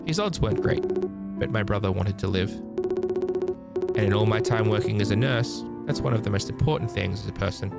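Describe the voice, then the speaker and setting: A man voicing a caring, earnest young nurse woman from a visual novel, speaking softly and sincerely in a measured way. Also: lowish voice, big pitch swings, vocal fry, over loud music. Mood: sincere, fond. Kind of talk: reading visual novel dialogue.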